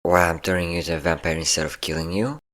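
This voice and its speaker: A man's voice: low-pitched, fairly even intonation, fast talker, fairly bright tone. A man voicing a possessive, sadistic and flirtatious vampire captor in an intimate roleplay audio drama, teasing and commanding. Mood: obsessive, amorous. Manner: teasing, commanding, theatrically smug.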